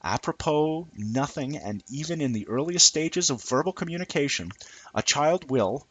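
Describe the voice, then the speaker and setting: A man's voice: a little high, animated, moderate pace, a bit bright, breathy edge, no room sound, poor audio. Man reading an essay aloud, dry close sound. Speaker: amateur reader of a written essay. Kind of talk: scripted essay read aloud.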